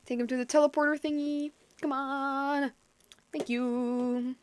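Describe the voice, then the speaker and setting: Chatty woman streamer with a higher voice. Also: level tone, a little slow, noisy background. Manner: chatty, slangy, playful asides. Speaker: game streamer. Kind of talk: casual live game commentary. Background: game music.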